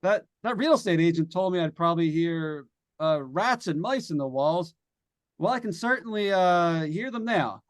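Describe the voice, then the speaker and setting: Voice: mid-range voice, expressive, moderate pace, close to an everyday voice. Jittery vintage-radio announcer in a haunted house, acted: folksy, stammering, coming apart, widely varied intonation. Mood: jumpy. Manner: folksy, stammering, addressing listeners at home. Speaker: radio drama actor. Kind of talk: old-time radio suspense acting.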